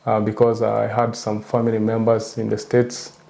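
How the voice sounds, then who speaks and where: Gravelly, flat-voiced young man. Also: fairly low pitch, normal speed. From raised in Kenya, born in Rwanda. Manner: brief, calm answers. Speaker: guest, US Army soldier. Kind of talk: casual interview answers.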